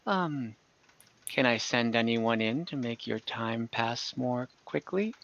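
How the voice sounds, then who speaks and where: A man's voice: medium pitch, expressive, slow, gravelly, fairly bright tone, muffled recording, pitched below the performer's own voice, full character voice. A man voicing a courteous, flirtatious hostess in a tabletop fantasy game. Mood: polite, inviting. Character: courteous, flirtatious brothel hostess and no-nonsense woman.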